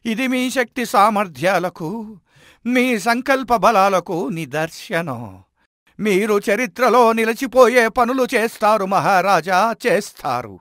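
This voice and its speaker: Man playing a humble wise old man, high-pitched. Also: animated, a little slow, a bit bright, no echo, clean studio sound. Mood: reassuring. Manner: respectful, explanatory. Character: humble, wise old commoner, earnest and reassuring to a king. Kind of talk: dramatized moral storytelling.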